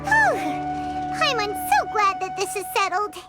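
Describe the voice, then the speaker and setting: Tiny excitable game fairy: chirpy, very high-pitched, animated. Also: female voice, a little slow, heavily acted. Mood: relieved. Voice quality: squeaky, childlike. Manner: chirpy, exclamatory. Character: small excitable childlike floating fairy companion, female. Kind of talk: video game cutscene acting. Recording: loud music behind the voice.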